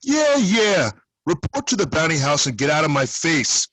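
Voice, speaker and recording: A man playing a gruff, middle-aged government soldier in a screenplay table read, curt and blunt with a husky voice. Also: on the high side, very animated, normal speed, a bit bright, no echo. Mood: dismissive, impatient.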